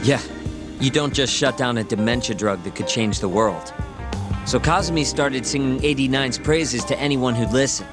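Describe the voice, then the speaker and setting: Casting: male actor as a wry, knowing game informant, mid-pitched, conversational and slangy, music underneath. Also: normal speed, close to an everyday voice. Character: glib, wry male informant explaining shady political dealings. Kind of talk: English game dub dialogue, conversational exposition. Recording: music behind the voice.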